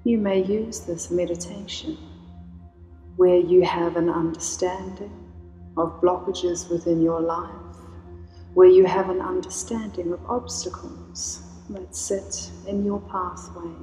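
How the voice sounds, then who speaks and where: Slow, even-voiced woman guiding a meditation. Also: fairly low pitch. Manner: slow, soothing, invocational delivery. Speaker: meditation guide. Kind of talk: guided meditation. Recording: roomy reverb with soft music.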